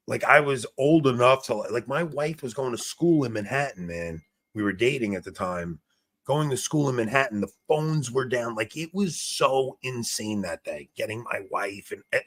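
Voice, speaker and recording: A man in his forties with a smoky, gravelly voice, co-hosting a casual chat and telling stories with lots of laughs and restarts. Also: medium pitch. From New York. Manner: animated storyteller, laughs often, trails off and restarts. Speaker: podcast co-host.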